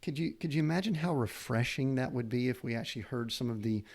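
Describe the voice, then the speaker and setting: Middle-aged guy hosting a podcast, gravelly voice, rambles a bit hunting for words. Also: mid-range voice, quick pace, darkish tone. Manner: rambling, earnest, searches for words. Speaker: podcast host. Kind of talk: conversational interview.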